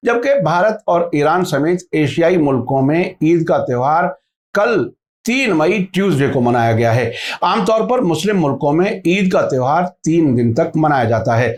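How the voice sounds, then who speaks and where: Guy doing a news bulletin, voice a bit on the high side, formal and measured, reading from a script. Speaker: news presenter.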